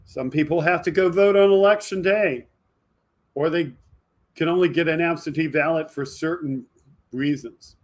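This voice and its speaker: Higher-pitched man in fired-up political monologue. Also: moderate pace. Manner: emphatic, fired up, addressing viewers directly. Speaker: video podcast host. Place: indoor home studio with green screen.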